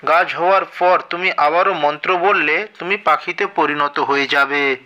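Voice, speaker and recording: A man's voice: on the high side, normal speed, bright, forceful tone. A man voicing a kindly female water fairy in a cartoon fairy tale, reassuring and instructive.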